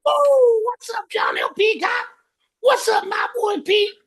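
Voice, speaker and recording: A man's voice: very high voice, gravelly, voice pushed up, exaggerated voice. A man voicing a manic screaming rocker hype guy with shrieking, hollering delivery for a comedy podcast bit. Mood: manic, hyped. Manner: shrieking, drawn-out excited delivery with woo hollers.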